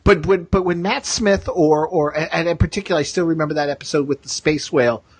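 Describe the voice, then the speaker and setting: A man co-hosting a casual pop-culture discussion with an enthusiastic, halting fan's delivery. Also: a little high, breathy edge, dry recording. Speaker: podcast co-host.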